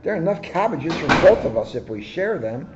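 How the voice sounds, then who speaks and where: A man doing a gentle, patient tortoise. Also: fairly high pitch, sing-song, fairly quick, dull audio, heavy background noise, pitched above the performer's own voice. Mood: pleading. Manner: gentle and reasonable. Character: kind patient female tortoise.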